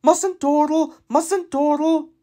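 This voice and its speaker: A man's voice: extremely high pitch, fairly even intonation, slow pace, warm tone. A man voicing a dreamy, eager cartoon skip lorry that rambles and repeats itself.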